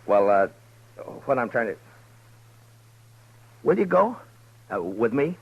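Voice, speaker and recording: A man's voice: mid-range voice, very animated, takes it slowly, vocal fry, slightly rough, highs cut off, heavily acted. A man playing a bashful frontier marshal in a 1950s radio drama, stammering as he tries to ask a woman out. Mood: shy. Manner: hesitant, stammering, shy.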